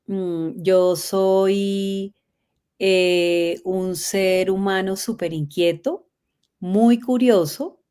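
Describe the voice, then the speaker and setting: A middle-aged Colombian woman, a guest telling her life story in a lively way, laughing often. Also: medium pitch, very slow, clear, resonant tone. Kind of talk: conversational interview.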